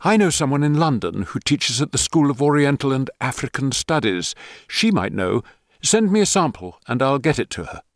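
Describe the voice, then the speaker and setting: A man voicing a female intelligence officer who gives quick, commanding orders. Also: mid-range voice, sing-song, no room sound, studio quality, voice pushed up. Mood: urgent. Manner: brisk and commanding.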